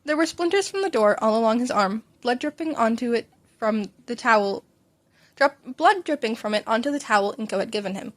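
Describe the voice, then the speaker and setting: A woman's voice: on the high side, animated, brisk. A woman narrating a story aloud as an informal hobby reader, sometimes stumbling and rereading a line. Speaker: fan fiction podcast reader.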